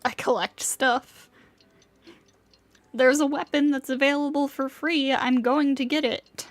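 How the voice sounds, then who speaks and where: A woman's voice: high-pitched, steady tone, a little slow, dry recording. A woman game streamer giving casual live commentary, musing aloud to herself as she plays. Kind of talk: casual livestream gameplay commentary. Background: faint game music.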